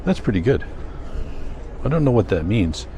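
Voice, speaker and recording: An older man with a Canadian accent, chatting casually in a rambling vlog, thinking aloud in a halting, self-correcting way. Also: on the low side, big pitch swings, warm tone. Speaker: vlogger.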